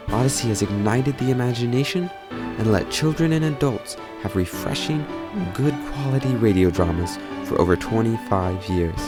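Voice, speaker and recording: A man reading scripted documentary narration. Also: fairly low pitch.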